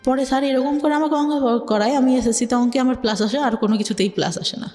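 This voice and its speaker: A young woman with a Bangladeshi Bengali accent speaks in a soft, mellow voice, and her pitch sits fairly high. Her telling is hesitant and full of filler words. The room rings with a long echo, and gentle music plays underneath. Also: steady tone, quick pace. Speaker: interview guest. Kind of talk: personal life story in conversation.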